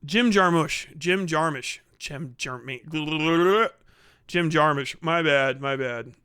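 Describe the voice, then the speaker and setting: A man giving profane, casual livestream commentary, rambling and thinking out loud as he goes. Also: on the high side, slow, smooth, no room sound. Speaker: live streamer reacting to videos.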